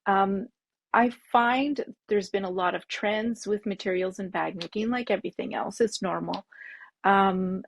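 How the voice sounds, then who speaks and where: A woman whose first language is French, a pattern designer from Canada, talking frankly in an interview with many pauses and ums. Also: mid-range voice, vocal fry, a little hiss or hum. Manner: frank, halting with frequent ums. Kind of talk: casual interview chat.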